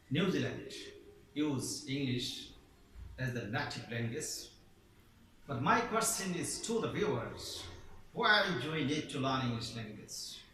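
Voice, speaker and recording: A man's voice: on the high side, pushed and bright, a little hiss or hum. Bangladeshi man giving a formal speech. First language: Bengali. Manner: formal, declamatory, with emphatic rising stresses. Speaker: English teacher. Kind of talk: prepared speech. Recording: echoey room, voice from far off.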